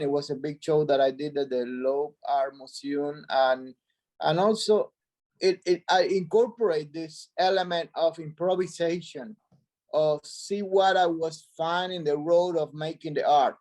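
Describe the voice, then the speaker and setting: A middle-aged man from Cuba speaks English with a Spanish accent, mellow and warm in tone, on a fairly high pitch. He reflects slowly on his work, restarting phrases as he searches for words. His voice comes over a video call. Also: steady tone. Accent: Cuban Spanish. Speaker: artist guest. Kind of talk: reflective interview answers.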